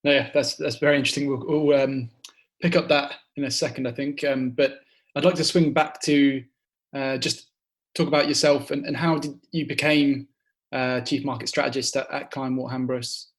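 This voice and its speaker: A man's voice: medium pitch, steady tone, moderate pace. Hesitant male podcast host. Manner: brief reactions, hesitant questions. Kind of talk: interview questions.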